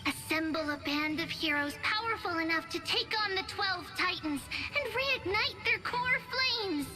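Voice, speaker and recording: A woman's voice: very high-pitched, animated, measured pace, over music, poor audio. A woman voicing a small childlike bath sprite in a video game, a bossy, cheerful storyteller with a playful, teacherly manner. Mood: dramatic storytelling. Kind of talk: video game character narration.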